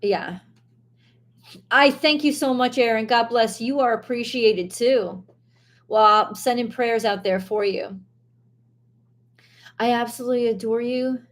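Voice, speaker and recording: Hoarse woman venting on a live stream. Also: a little high, a little slow, pauses often. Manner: frustrated, blunt, swears, loses her train of thought. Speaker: tarot reader hosting a live stream.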